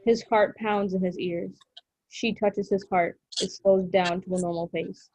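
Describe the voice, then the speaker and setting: A woman's voice: mid-range voice, fairly even intonation, a little slow, darkish tone, dry recording, rough recording. A woman narrating the stage directions of a script at a table read.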